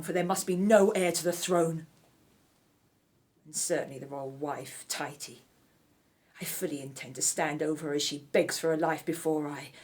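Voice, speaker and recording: A woman's voice: low-pitched, close to an everyday voice. A woman playing a ruthless, vengeful royal wife in a radio drama, haughty and cutting in manner.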